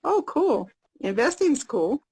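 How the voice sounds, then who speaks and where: An American woman in her fifties hosting a casual live video chat, upbeat and repeating her questions slowly and plainly. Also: high voice, very animated, darkish tone, a little hiss or hum. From United States. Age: 50s.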